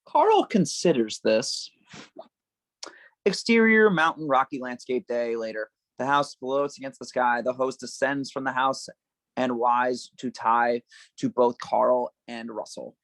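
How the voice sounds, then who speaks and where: A narrator with a middle-pitched voice reads stage directions from a screenplay. The sound is close and dry, and the delivery is that of an informal table read. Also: unhurried, no room sound.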